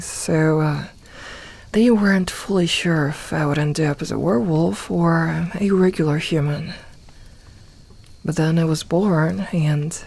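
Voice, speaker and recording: A woman voicing a gentle, comforting young werewolf in a soft ASMR roleplay, talking intimately and reassuringly to one listener with light humour. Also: low voice, vocal fry, slightly rough, full character voice. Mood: fond, reflective. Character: gentle, comforting young female werewolf, playful self-styled lone wolf.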